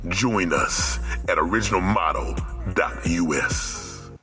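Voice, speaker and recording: Really deep guy voice reading an earnest campaign ad, music underneath. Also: takes it slowly, bright, forceful tone. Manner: earnest announcer delivery. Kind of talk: scripted public-service advert. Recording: advert over clear music bed.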